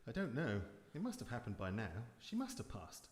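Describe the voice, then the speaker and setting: A man's voice: medium pitch, wildly swooping pitch, brisk. A man playing a pompous, sceptical professor with formal speech in a live radio drama. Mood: disappointed, grave. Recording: echoey hall, live stage performance.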